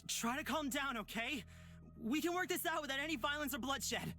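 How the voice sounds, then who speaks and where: A man's voice: extremely high pitch, animated, moderate pace, bright, forceful tone, soft background music, exaggerated voice. A man playing an earnest, protective, trusting young character in a fantasy visual novel. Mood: worried.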